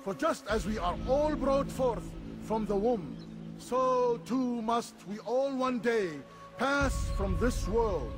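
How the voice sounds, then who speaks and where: A man playing a solemn orator in a video game, speaking formally and declaiming in a measured way over music. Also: high-pitched. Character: solemn orator at a funeral, turning accusatory. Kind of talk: formal eulogy, public oration.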